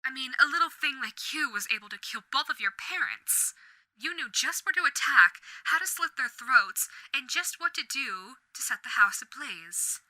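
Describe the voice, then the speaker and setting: A woman's voice: high voice, expressive, normal speed, pushed and bright, dry recording, exaggerated voice, poor audio. A woman voicing a charming, manipulative female overlord demon in an audio roleplay, speaking in a theatrical, coaxing way with whispered asides. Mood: sly coaxing. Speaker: voice actor.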